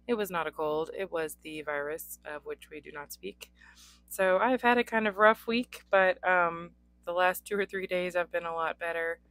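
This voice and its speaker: A young adult woman with a hoarse voice, hosting a casual pen unboxing with frequent ums. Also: fairly low pitch, moderate pace, a bit bright, no echo. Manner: chatty, frequent ums, occasional coughs. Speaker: pen reviewer. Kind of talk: unboxing chat. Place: indoors at a desk. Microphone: overhead camera on desk, hands only.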